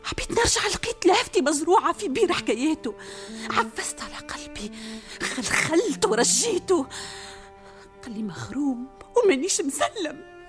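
A desperate, lovesick young noblewoman in a Tunisian radio drama, her high voice swinging widely as she drops into breathy whispers. Soft music hangs in the background. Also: sing-song, normal speed. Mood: yearning.

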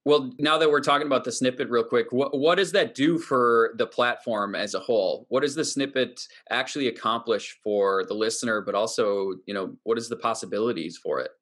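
A man's voice: mid-range voice, a little fast, few pauses, fairly bright tone. Conversational man hosting a podcast. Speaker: podcast host and team member. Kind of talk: conversational panel interview.

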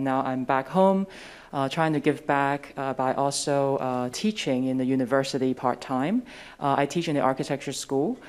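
A woman in her thirties from Hong Kong, an architect, cheerfully introducing herself on a panel with many pauses. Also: very deep voice, a little mellow, smooth. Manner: cheerful, laughs, many uhs.

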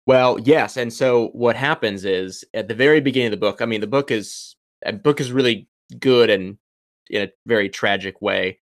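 A man's voice: fairly low pitch, moderate pace, no room sound, clean studio sound. A young man, a doctoral student, talking as a podcast guest, thinking aloud with frequent ums and restarts. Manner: thoughtful, many ums and restarts. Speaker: podcast guest, PhD candidate. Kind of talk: casual interview chat.

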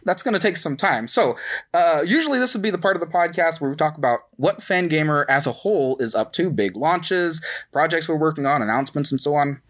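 A man with a slightly high voice hosts a casual podcast. He rambles along conversationally, dryly joking and putting himself down as he goes. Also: expressive, brisk, a bit bright. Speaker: podcast host at a game merchandise company. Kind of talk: casual chat, self-introduction.

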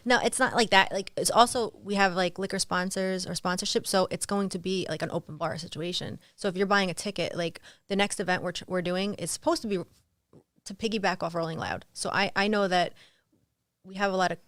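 Guest: woman, relaxed and chatty, heavy filler use, dry studio sound. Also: on the low side, brisk, no room sound. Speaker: guest, model and art dealer. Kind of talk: casual interview chat.

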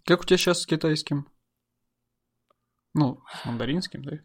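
A man's voice: fairly high pitch, normal speed. Teasing man asking casual questions. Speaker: host. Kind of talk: casual interview chat.

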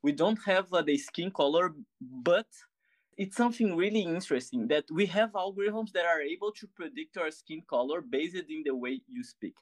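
A man's voice: a little high, expressive, normal speed, dry recording. A man with a Brazilian accent hosting an interview, asking long questions while searching for words. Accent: Brazilian Portuguese. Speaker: interviewer, host.

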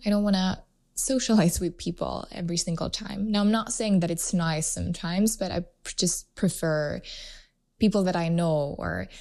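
A woman's voice: fairly low pitch, a little mellow. A woman hosting her own podcast, chatting casually and rambling with lots of "like" fillers. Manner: chatty and rambling, heavy use of "like". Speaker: podcast host. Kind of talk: casual solo podcast chat.

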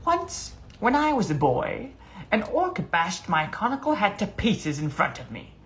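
Casting: a male narrator as elderly gnome elder, kindly and timid, pitched up with lively swings, storybook reading with background noise. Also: unhurried, heavily acted. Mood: concerned, lamenting. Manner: hesitant, polite, gently pleading. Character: shy, kindly, aged senior male gnome.

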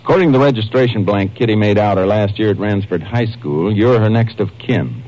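An actor playing a grim, determined Texas Ranger investigator in a 1950s radio crime drama, measured, terse and official. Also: male voice, fairly low pitch, darkish tone, natural voice. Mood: businesslike. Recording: muffled, noisy old radio recording.

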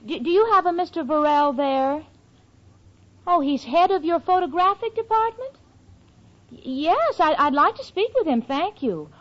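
A woman's voice: very high-pitched, lively intonation, normal speed. An actress playing a sensible, eager young city woman with a wry streak in a 1940s radio comedy. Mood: flustered, polite. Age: young adult. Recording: dull vintage radio recording.

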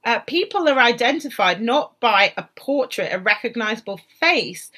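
A woman's voice: fairly high pitch, animated, normal speed, bright, forceful tone, husky. A woman talking as a historian in a panel discussion, thinking aloud and often circling back over her phrases. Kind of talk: conversational expert discussion.

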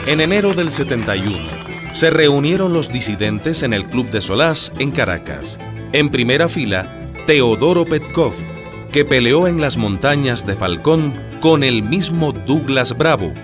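An actor playing the narrator of a historical radio drama, telling it dramatically with dry wit. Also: male voice, medium pitch, big pitch swings, a little slow, music in the background. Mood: dramatic.

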